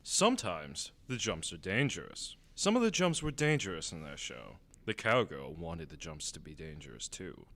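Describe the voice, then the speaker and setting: A man's voice: low-pitched, sing-song, normal speed, few pauses, fairly bright tone, a little hiss or hum. A man narrating a children's radio drama in a theatrical storybook style.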